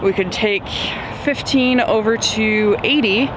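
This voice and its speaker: A woman's voice: a little high, takes it slowly, background noise. A woman in her thirties, a truck driver, chatting casually and cheerfully to a handheld camera outdoors. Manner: chatty, rambling, upbeat. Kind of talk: casual vlog chat. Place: outdoors by a truck in open dry field. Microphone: phone camera held close.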